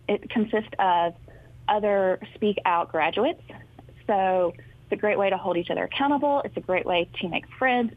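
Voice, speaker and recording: Woman guest therapist, steady informative explainer, thin phone-call audio. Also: mid-range voice, no echo. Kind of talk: explaining in a talk show interview. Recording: thin phone-line sound, dry.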